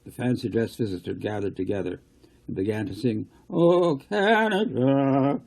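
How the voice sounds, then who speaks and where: Gravelly, mellow male storyteller. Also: fairly low pitch, fairly even intonation, brisk. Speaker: author reading his own novel. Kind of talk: storybook narration.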